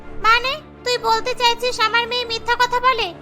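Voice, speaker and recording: A haughty cartoon mistress, put on by a woman, scolding and suspicious. Her voice is extremely high and piercingly bright. She speaks sharply and accusingly over music. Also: flat delivery, moderate pace. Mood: angry, accusing. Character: haughty, suspicious, scolding rich female employer. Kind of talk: cartoon dialogue.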